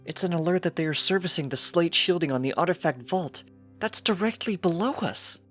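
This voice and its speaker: A man's voice: fairly high pitch, fairly quick, fairly bright tone, faint music behind, pitched above the performer's own voice. A man voicing a careful, fretful female pony, a precise and chiding wife in a storybook reading. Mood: anxious.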